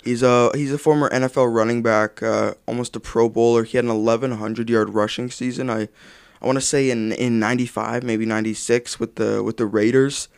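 A man's voice: mid-range voice, steady tone, fairly quick, vocal fry, smooth, dry recording. A young man co-hosting a casual sports podcast, talking loosely and swearing now and then. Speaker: podcast co-host.

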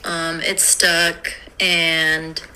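A woman's voice: sits low, flat delivery, extremely slow, bright, forceful tone, poor audio. A woman telling a podcast a personal story in a conversational, wry way. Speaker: listener contributor. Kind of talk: storytelling message.

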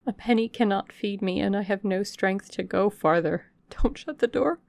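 A worn-out young woman begs and implores, as the reader voices her, her pleading rising in pitch. The voice carries a gravelly fry and sounds close and dry. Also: fairly even intonation, normal speed, a little mellow, dry recording, natural voice. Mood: pleading, desperate.

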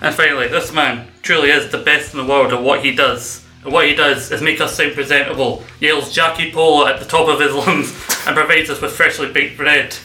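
Jokey podcast host, very bright. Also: male voice, on the high side, fairly even intonation, brisk, hardly any pauses, faint music behind. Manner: jokey, teasing introductions, often laughing. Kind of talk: comedic wrestling podcast hosting. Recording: echoey room.

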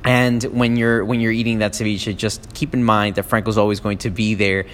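A man's voice: fairly low pitch, flat delivery, normal speed, a bit bright, a little hiss or hum. Rambling man in a reverberant room. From United States (Miami). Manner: casual, rambling, many ums and restarts. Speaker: podcast host. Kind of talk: solo podcast monologue. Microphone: phone app.

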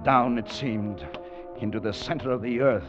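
An actor in an old radio drama playing a tense, haunted adventurer telling of his expedition, dramatic and at times halting. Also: mid-range voice, music in the background, close to an everyday voice. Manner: dramatic, hesitant and halting in dialogue. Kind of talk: 1940s-50s radio drama narration and acting. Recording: dull old radio recording.

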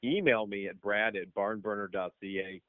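Low voiced journalist guy on a call, short plain answers, dry tinny phone sound. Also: fairly even intonation, normal speed, no room sound. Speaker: sports journalist, podcast guest. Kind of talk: casual podcast chat.

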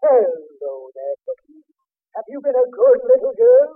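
An actor playing a blustering, bossy and sarcastic boss who can put on a jolly Santa act, in 1940s radio comedy. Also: male voice, extremely high pitch, big pitch swings, a little slow, exaggerated voice. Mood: jolly, coaxing. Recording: tinny vintage radio broadcast.

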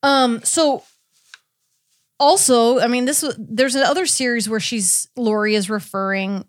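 A woman hosting a podcast in casual chat, recounting a case informally with a halting, filler-heavy delivery. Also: on the high side, no echo, studio quality. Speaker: podcast host.

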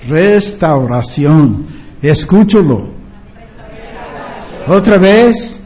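Slow-paced older preacher. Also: male voice, a little high, expressive, darkish tone, a faint crowd. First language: not a native Spanish speaker. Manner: emphatic, repeats key phrases and checks understanding. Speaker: preacher and Bible teacher. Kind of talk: church teaching sermon with rhetorical questions.

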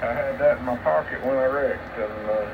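A man's voice: mid-range voice, moderate pace, over a noisy crowd. A man telling a story in casual chat as an amateur radio operator, heard over a two-way radio. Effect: two-way radio transmission. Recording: muffled, noisy shortwave radio reception.

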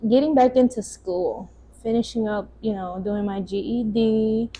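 A woman's voice: on the high side, steady tone, a little slow, dark tone. A woman of about twenty chatting casually as a podcast co-host. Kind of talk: casual chat.

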